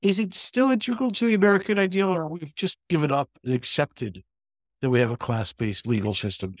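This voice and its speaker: A man hosting an interview podcast, putting questions deliberately in short, measured phrases. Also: fairly high pitch, no echo, clean studio sound.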